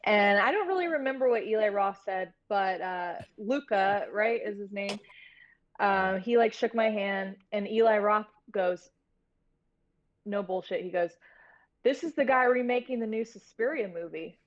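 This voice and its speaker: A woman's voice: mid-range voice, a little slow. Woman, casual and candid, lo-fi audio. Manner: informal, candid, rambling. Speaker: film producer and guest. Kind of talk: casual interview storytelling.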